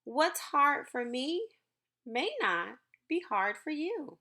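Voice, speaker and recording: A woman's voice: high-pitched, sing-song, takes it slowly, pushed and bright. A woman reads a picture book aloud to young children and talks to them in an encouraging, reassuring way as a school counselor.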